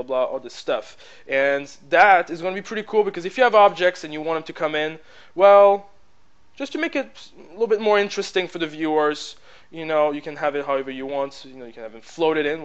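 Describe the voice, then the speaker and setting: A man with a French accent giving a casual software tutorial over his screen, rambling with lots of fillers and "blah, blah, blah". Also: fairly high pitch, smooth, muffled recording. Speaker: tutorial presenter. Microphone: plug-in headset mic.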